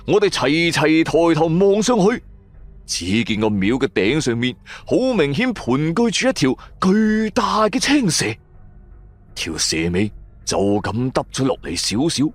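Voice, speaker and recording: A male storyteller delivers a supernatural radio serial. His intonation rises and falls dramatically, with suspenseful, emphatic stresses. Soft music plays underneath. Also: medium pitch, no room sound. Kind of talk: dramatic first-person horror storytelling.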